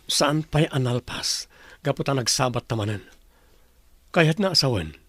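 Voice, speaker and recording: A man's voice: mid-range voice, lively intonation, unhurried, a bit bright, no room sound. A man playing an insistent former lover who will not let go, in a radio drama.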